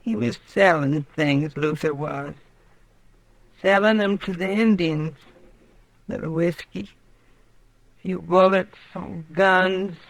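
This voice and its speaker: An actress playing a grief-stricken frontier widow in a radio western, speaking in a halting, bleak, resolute way. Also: female voice, on the low side, gravelly, dry recording, low-quality recording. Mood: bitter grief. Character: grief-stricken frontier widow confessing to killing her husband. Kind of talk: old radio western acting.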